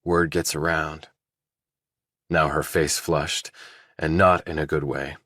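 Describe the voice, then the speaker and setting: A man narrating a thriller audiobook, reading scripted prose and character dialogue in a deep voice. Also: little pitch movement, slow pace, bright, forceful tone, no room sound, clean studio sound.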